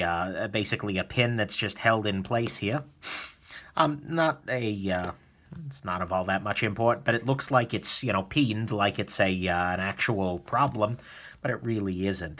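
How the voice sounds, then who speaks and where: Bright-voiced man chatting through a repair. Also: fairly low pitch. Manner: relaxed, chatty, many fillers. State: slightly sniffly from a cold. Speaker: knife reviewer, host. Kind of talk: casual tutorial narration.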